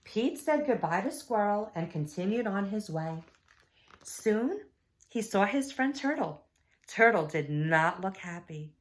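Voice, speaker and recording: Slow-paced woman reading to children. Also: mid-range voice, slight background noise. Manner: child-directed, expressive, quiet asides. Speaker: teacher reading aloud to children. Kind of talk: expressive children's storybook read-aloud with asides. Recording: echoey room.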